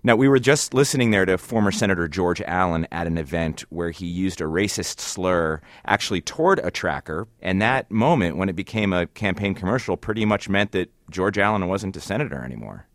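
A man's voice: deep voice, lively intonation, a little fast, few pauses, no room sound, studio quality. A man hosting a broadcast interview in a conversational style. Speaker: radio interviewer, host.

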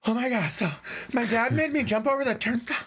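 A man voicing an excitable teenage daughter gushing breathlessly into a selfie video, in a comedy anecdote. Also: a little high, a little fast, slightly rough, voice pushed up. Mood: breathless excitement.

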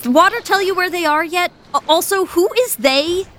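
A woman's voice: very high-pitched, normal speed, fairly bright tone. A woman playing a practical, no-nonsense sailor in an audio drama, dry and matter-of-fact in her delivery. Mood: dry, wary. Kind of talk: scripted audio drama acting.

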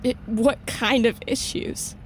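A woman's voice: on the high side, steady tone, slow, a little hiss or hum. An actress playing an earnest, worried schoolgirl in a naturalistic audio drama, speaking hesitantly.

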